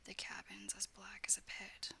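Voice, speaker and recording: A woman's voice: moderate pace, bright, forceful tone. A woman whispering the lines of a frightened pirate sailor in a storybook reading. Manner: whispered, hollow and shaken.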